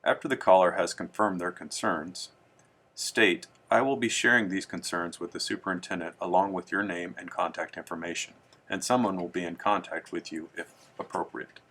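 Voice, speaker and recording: Low, bright-voiced male training narrator. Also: steady tone. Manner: measured, formal, instructional. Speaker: training presenter. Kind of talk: scripted instructional narration.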